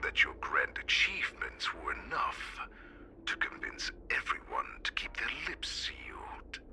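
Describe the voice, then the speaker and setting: A very deep, menacing wolf warlord from a fantasy game, growls with slow, deliberate relish. The delivery is breathy and mocking, swinging widely in pitch, with music playing behind. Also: male voice, huge pitch swings, heavy background noise, heavily acted. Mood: sly mocking. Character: menacing bloodthirsty wolfish warlord, sly and taunting. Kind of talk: dramatic game villain acting. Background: prominent game music.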